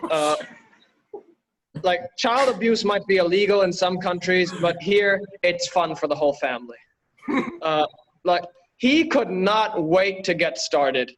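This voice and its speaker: A man from Bangladesh doing a stand-up set over a video call, delivering jokes in a dry, deadpan way. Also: high-pitched, measured pace, slight background noise, low-quality recording. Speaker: stand-up comedian.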